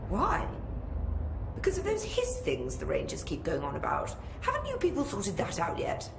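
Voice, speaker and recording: An actress playing a brusque, self-important scientist in a video game, haughty, precise and formal. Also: female voice, mid-range voice, huge pitch swings, moderate pace, gravelly. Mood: haughty, impatient. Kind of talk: video game cutscene acting. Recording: echoey, noisy room.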